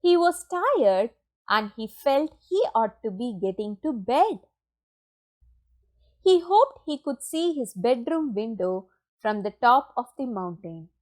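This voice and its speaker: A woman reading a children's picture book aloud in an expressive, deliberate storytelling manner with dramatic pauses. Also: fairly high pitch. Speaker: storybook reader.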